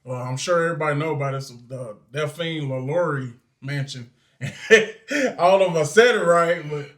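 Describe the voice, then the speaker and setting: A man's voice: a little high, moderate pace, fairly bright tone. A man, a co-host chatting loosely with lots of fillers. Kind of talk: casual chat.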